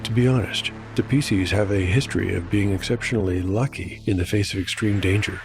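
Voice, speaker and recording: A man's voice: sits low, fairly quick, creaky. A man narrating his solo tabletop game, rolling dice aloud and musing on the results. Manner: rolls dice aloud, reflective asides. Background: music.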